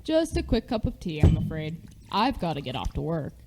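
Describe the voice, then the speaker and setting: A woman's voice: deep voice, very animated, moderate pace, over loud music. A woman playing a flippant, joking grown son in an amateur radio play. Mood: casual. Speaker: high school theatre performer.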